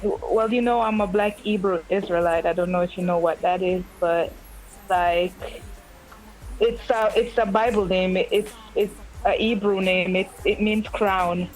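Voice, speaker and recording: A woman with a mid-range voice, talking as a guest artist on a radio show. She chats casually, stumbling over restarts as she lists shout-outs. Faint music plays in the background. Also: medium pitch, steady tone, unhurried, low-quality recording. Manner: chatty, hesitant restarts, giving shout-outs. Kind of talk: casual interview talk. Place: remote line from home, Austria.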